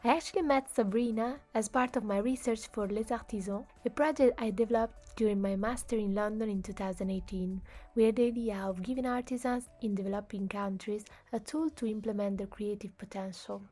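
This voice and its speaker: A woman hosting a podcast who reads a scripted introduction and then asks her guest questions in an earnest, measured way. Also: medium pitch, steady tone, a little mellow. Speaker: podcast host.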